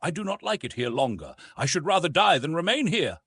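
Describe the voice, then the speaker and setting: A man's voice: fairly high pitch, big pitch swings, brisk, a bit bright, breathy edge, no room sound, pitched above the performer's own voice, exaggerated voice. A man voicing a naive, stubborn young wild man in an adventure audiobook, blunt and inquisitive. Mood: determined. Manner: simple, direct, inquisitive.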